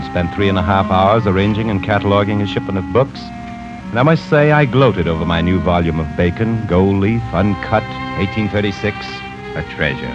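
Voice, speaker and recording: A man's voice: lowish voice, natural voice. An actor playing an arrogant, bookish man who scorns sentiment, in a 1940s radio drama. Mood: smug. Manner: haughty, condescending. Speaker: narrator reading his journal. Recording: muffled 1940s radio broadcast.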